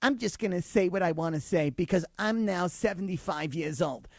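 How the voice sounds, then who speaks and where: Brief: forties male talk radio co-host, sarcastic, slightly high voice, dry studio sound. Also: moderate pace, no echo. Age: 40s. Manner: exasperated, sarcastic, mimics others. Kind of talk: sports talk radio banter. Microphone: studio microphone.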